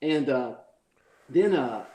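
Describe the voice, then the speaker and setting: A man's voice: mid-range voice, expressive, takes it slowly, dark tone, reverberant, low-quality recording. A man in his forties, a podcast guest, telling crude stories and laughing in casual chat. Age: 40s.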